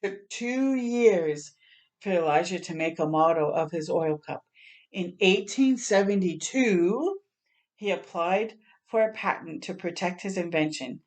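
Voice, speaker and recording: A woman with a slightly low voice reads a children's picture book aloud. She is an expressive storyteller who acts out the sounds and talks directly to her young listeners. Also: measured pace. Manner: expressive, acting out sound words and exclamations.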